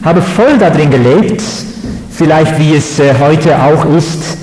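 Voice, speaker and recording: A man from the Netherlands, giving a measured, halting lecture on religion with fillers. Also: on the high side, expressive, darkish tone. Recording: echoey hall.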